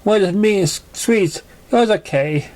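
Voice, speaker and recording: A man voicing a young orphaned pony girl, wounded but plucky, telling her story haltingly in an amateur fan fiction reading. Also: high-pitched, darkish tone, natural voice. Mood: hurt, confiding.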